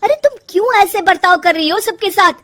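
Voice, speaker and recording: A woman voicing an earnest, puzzled young husband in an animated moral story, questioning and insistent in manner. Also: extremely high pitch, fairly quick, voice pushed up. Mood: upset, insistent.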